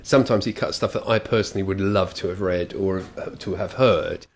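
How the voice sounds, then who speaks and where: A British man talks in a slightly low voice. He comments reflectively and conversationally, as in a radio discussion. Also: brisk.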